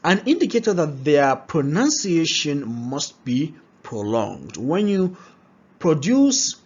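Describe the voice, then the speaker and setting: A man's voice: on the high side, sing-song, takes it slowly, a little mellow, husky. A man teaching a pronunciation lesson, often asking rhetorical questions and checking in with "all right?". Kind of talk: classroom-style lesson. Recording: dull lo-fi recording.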